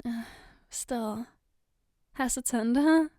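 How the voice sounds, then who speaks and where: Woman acting a slow, gentle, hesitant girlfriend, high voice. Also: no room sound, clean studio sound. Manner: hesitant, gentle, reassuring, halting. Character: caring, flustered young girlfriend comforting her partner. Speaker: ASMR roleplay voice performer. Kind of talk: ASMR roleplay, spoken to the listener.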